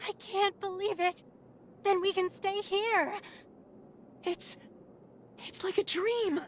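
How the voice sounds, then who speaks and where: Young game townsperson: piercingly high, unhurried, excitable, full of wonder. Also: female voice. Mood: overjoyed. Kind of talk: video game cutscene acting. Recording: faint background noise.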